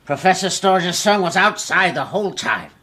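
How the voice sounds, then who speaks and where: Earnest household servant, radio drama: deferential manner, sharp highish tone. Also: male voice, expressive, moderate pace, exaggerated voice.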